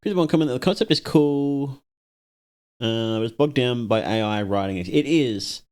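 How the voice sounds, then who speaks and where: Male streamer, casual live reaction, repeats lines to himself, mid pitch that jumps around a lot. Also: expressive, normal speed, a little mellow, clean tone. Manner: casual, thinks aloud, repeats lines back.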